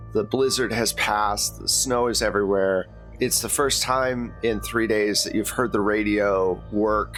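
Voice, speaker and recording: A man's voice: on the low side, fairly bright tone. A man working as game master, recapping and narrating a tabletop game in an informal, conversational way.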